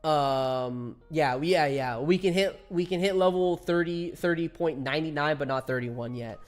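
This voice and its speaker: A man's voice: a little high, measured pace, faint music behind. A man in his twenties chatting casually with viewers while streaming a game, laughing often and reading chat aloud. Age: 20s.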